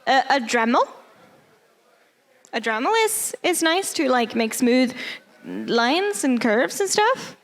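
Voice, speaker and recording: Young woman, high pitch, non-native English speaker, casual presenter who stops to hunt for words. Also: wildly swooping pitch, takes it slowly. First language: not English. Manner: hesitant, many fillers, searching for words. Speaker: cosplay workshop presenter. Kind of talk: casual workshop talk.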